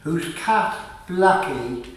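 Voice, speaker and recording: A man narrating a scripted radio play in a measured, deliberate way. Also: a little high, expressive, slight background noise. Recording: very echoey, distant microphone.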